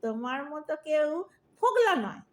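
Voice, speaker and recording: Woman as elderly wife, very high voice, blithe, teasing, mock-sweet, comic audio play. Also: sing-song, normal speed. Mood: flirtatious, teasing.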